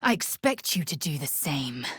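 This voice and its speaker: An actress voicing a fierce, haughty alien warrior woman in a video game, with a husky voice and an imperious, clipped, disdainful manner. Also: deep voice, big pitch swings, normal speed, a bit bright, no room sound, clean studio sound, heavily acted. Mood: stern. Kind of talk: video game character voice lines.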